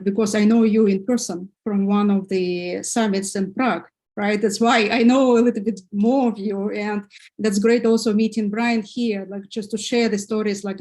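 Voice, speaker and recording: A woman's voice: mid-range voice, steady tone, normal speed, darkish tone. A woman from Kazakhstan moderating a panel in a friendly way with simple phrasing and fillers.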